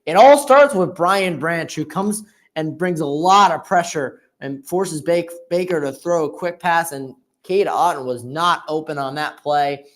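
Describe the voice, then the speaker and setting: A man's voice: on the high side, measured pace, few pauses, a bit bright. A man giving informal, conversational analysis as co-commentator on an amateur live football broadcast.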